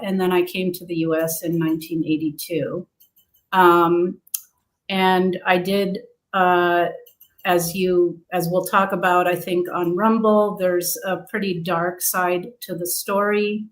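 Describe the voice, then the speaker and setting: Woman interview guest, deliberate and slow, hesitant with ums. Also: on the low side, little pitch movement, rough recording. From grew up abroad in several countries. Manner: halting, many fillers. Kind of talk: interview answers.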